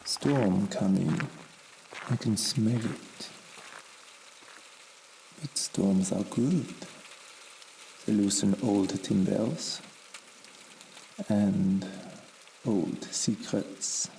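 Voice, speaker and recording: An actor playing a sinister, elegant, patient murderer with a menacing, deliberate delivery in a Victorian audio drama. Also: male voice, fairly low pitch, vocal fry, warm tone, heavily acted. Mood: quiet menace. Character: sinister, elegant, patient male murderer travelling under aliases. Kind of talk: audio drama acting of Victorian period fiction. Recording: distant, with faint crackling ambience.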